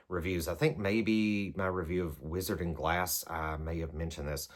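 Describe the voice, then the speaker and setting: A man's voice: deep voice, a bit bright. A man vlogging as a book reviewer, talking casually to camera in a rambling, hesitant way as he works through prompts. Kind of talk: casual chat to camera, answering numbered prompts.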